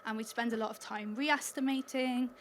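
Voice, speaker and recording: A woman's voice: fairly high pitch, steady tone, normal speed, a little hiss or hum. A Welsh woman speaking at a conference, chatty and upbeat, laughing lightly between points. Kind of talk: conference talk. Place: conference hall stage.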